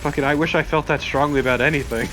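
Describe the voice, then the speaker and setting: A man's voice: fairly high pitch, steady tone, quick pace, a bit bright, slight background noise, poor audio. A man giving casual, sarcastic banter as co-commentator on a gaming video, swearing freely. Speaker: co-commentator on a let's play. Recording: game music behind the voice. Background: video game music.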